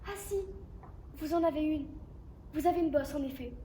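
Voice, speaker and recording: Woman doing stage lines, really high voice, roomy echo. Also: moderate pace, mellow. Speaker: drama student. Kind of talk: acted classical theatre recitation. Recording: echoey room, distant mic, background noise.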